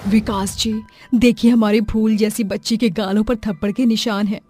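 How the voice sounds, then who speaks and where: A woman's voice: mid-range voice, flat delivery, normal speed, warm tone, breathy edge, natural voice. A woman acting a kind, motherly adult in a cartoon story, tender and full of concern. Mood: alarmed. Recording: music behind the voice.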